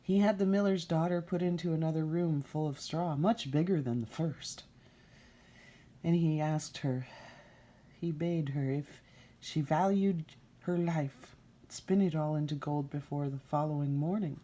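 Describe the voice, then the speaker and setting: A woman storyteller reads a fairy tale aloud. Her voice is low, soft-edged and mellow. She takes her time and tells it expressively, as at storytime. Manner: unhurried, expressive storytime delivery.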